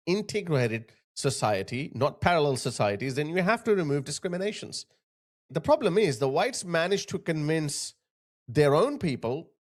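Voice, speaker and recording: A man from Pakistan giving emphatic, sarcastic opinion commentary. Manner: emphatic, sarcastic, big pitch swings.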